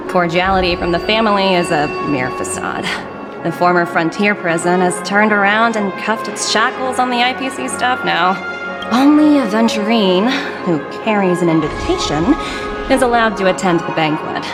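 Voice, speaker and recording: A woman voicing a commanding corporate manager who talks in a crisp, authoritative way. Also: mid-range voice, moderate pace, lightly acted. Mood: weary. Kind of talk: game voice acting. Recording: loud game music behind.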